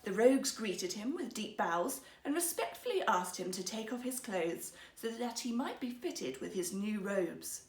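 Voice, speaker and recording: A woman storyteller narrating a fairy tale in an expressive, theatrical, carefully phrased way. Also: medium pitch, a little slow, few pauses, roomy sound.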